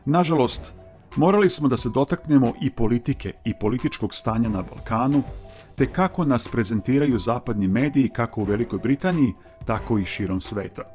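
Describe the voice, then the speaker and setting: A man narrating his channel's video in an earnest, measured, formal voice-over. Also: medium pitch, darkish tone. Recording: faint music underneath.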